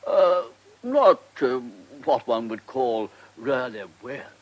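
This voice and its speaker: An actor playing a hesitant, evasive man in a British radio drama, speaking in a halting way. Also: mid-range voice, sing-song.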